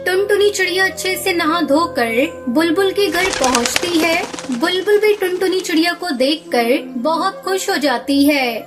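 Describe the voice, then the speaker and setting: Female narrator for children's tales: very high pitch, scripted storybook delivery over soft music. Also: animated, a little slow. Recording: music under the voice.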